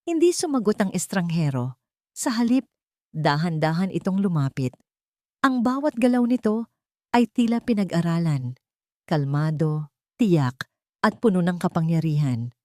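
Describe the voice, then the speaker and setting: A woman's voice: on the low side, animated, moderate pace, long pauses, darkish tone, no room sound, clean studio sound. A woman with a Filipino accent reading a scripted story as a dramatic narrator.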